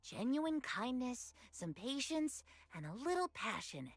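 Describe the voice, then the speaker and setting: A woman's voice: high voice, very animated, a little slow, no echo, exaggerated voice. A woman voicing a cheerful, kindhearted pet shop clerk in a video game, friendly and earnest. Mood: earnest, kind.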